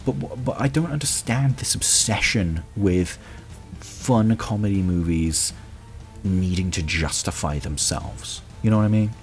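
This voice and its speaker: A man hosting a show, talking casually and haltingly through a film countdown with blunt, emphatic opinions. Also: lowish voice, soft background music. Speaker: show host.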